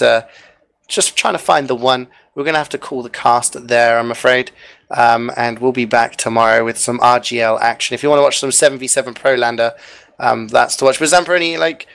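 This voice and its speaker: A male esports caster talks the viewers through a match and the upcoming events in a chatty, upbeat way. He fills gaps with little fillers. His voice sits at a middle pitch and has gone a bit hoarse. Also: a bit bright. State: losing his voice. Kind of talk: live esports commentary and sign-off.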